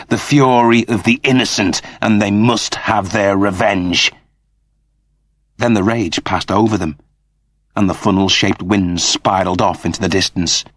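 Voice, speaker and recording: Male audiobook narrator: lowish pitch, measured, suspenseful, dramatic, dry studio sound. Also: husky, no room sound.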